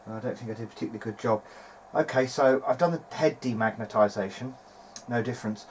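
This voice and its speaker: A man with a mid-pitched voice talks casually through a hobby repair at his workbench. He is tentative and unscripted, working things out aloud as he goes. The home recording has noticeable background noise. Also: quick pace. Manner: hesitant, thinking aloud, tentative. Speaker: hobbyist video maker repairing vintage audio gear. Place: home workbench, speaking behind a handheld camera. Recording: clearly noisy amateur home recording.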